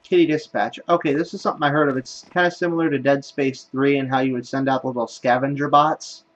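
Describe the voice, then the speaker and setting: A man's voice: medium pitch, fairly even intonation, fast, lo-fi sound. Rambling man doing casual game commentary. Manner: rambling, self-deprecating, thinking aloud. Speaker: gaming video host. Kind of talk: casual let's play commentary. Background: game music and sounds.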